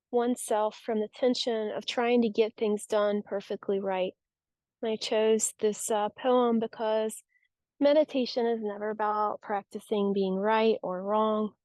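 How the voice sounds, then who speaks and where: A woman guiding a yoga nidra relaxation practice with soothing, unhurried, gentle instruction. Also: on the high side, no echo. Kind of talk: guided relaxation meditation.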